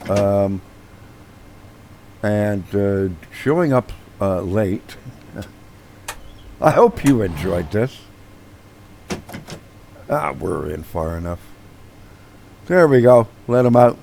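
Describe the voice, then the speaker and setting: A man with a hoarse voice who says he is losing it, chatting casually over gameplay as the host of his own channel, rambling with many fillers and restarts. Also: on the low side, darkish tone, a little hiss or hum.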